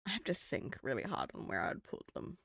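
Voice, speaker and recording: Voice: medium pitch, big pitch swings, a little fast, creaky, fairly bright tone, husky, dry recording, studio quality. A streamer with a New Zealand accent, chatting casually on a live stream, rambling and swearing. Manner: rambling and swearing, with frequent laughs.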